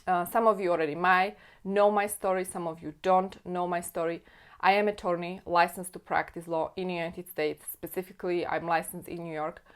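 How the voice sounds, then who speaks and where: A woman's voice: lowish voice, fairly even intonation, brisk, smooth. A woman from Slovakia with a Slovak accent, an immigration attorney talking straight to camera and repeating her key points earnestly. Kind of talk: explainer video to camera.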